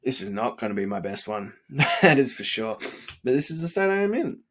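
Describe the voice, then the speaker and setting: Man, 30s, stuffy and worn out, earnest motivational ramble with fillers, mid pitch. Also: sing-song, a little fast, heavy background noise. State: congested and sniffly; tired. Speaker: vlogger. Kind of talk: late-night motivational vlog.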